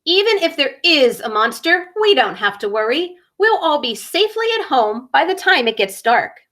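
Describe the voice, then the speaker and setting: A woman's voice: high-pitched, very animated, normal speed, fairly bright tone, pitched above the performer's own voice. A woman reading a storybook, voicing a brave, friendly male bear with a hearty, reassuring manner.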